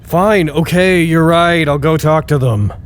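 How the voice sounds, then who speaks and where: An actor playing an anxious, stubborn research staffer in an audio drama, speaking in a casual, wry, self-deprecating way. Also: male voice, a little high, unhurried, a little hiss or hum, lightly acted. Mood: reluctant. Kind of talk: naturalistic audio drama acting.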